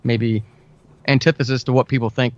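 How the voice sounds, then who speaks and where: A man's voice: medium pitch, steady tone, fairly quick, highs cut off. An American man talking chattily and with enthusiasm as a guest in a conversational interview, repeating words for emphasis. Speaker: podcast guest, audio drama writer.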